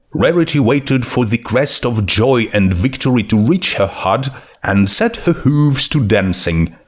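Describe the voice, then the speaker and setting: A man narrating scripted fiction in a measured, dramatic storytelling manner. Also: lowish voice.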